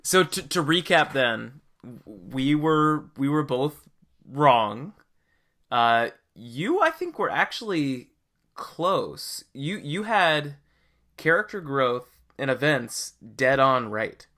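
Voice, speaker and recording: A man's voice: mid-range voice, very animated, slow, pushed and bright. A man hosting a podcast in a conversational, reflective way, often checking in with the listener. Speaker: podcast host. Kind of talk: casual podcast discussion.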